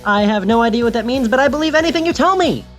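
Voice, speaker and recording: A man's voice: very high voice, animated, fast talker, a bit bright, soft background music. An American man hosting a podcast in a casual, chatty style. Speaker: podcast host. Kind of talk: casual chat.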